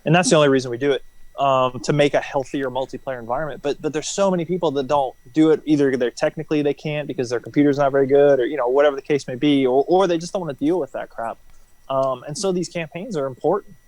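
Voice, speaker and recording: A man's voice: mid-range voice, fast talker, darkish tone, low-quality recording. A man talking casually as an interview guest, explaining things informally and often checking in with "right?". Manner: informal, explanatory, frequent "you know" and "right?". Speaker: interview guest, former military helicopter pilot.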